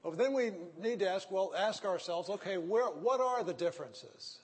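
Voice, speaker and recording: A man with a Texan accent lectures, pressing an argument one step at a time and often stopping to ask "right?" or "okay?". His voice sits high for a man and sounds slightly husky. It carries a long, ringing reverb from a large hall. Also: expressive, normal speed. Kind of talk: ethics lecture. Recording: echoey hall.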